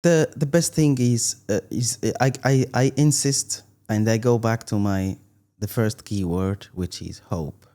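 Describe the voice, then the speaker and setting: A man's voice: on the low side, unhurried, warm tone. A middle-aged man from Tunisia, a guest in an interview, talking earnestly with many restarts and an emphatic "believe me". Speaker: guest, content creator.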